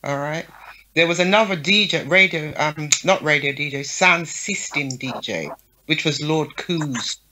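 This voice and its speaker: Frank, bright-voiced woman promoter. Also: deep voice, a little slow, rough recording. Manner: frank, lists names, checks in with "yeah?" and "right?". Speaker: guest, show promoter. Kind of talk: casual interview talk.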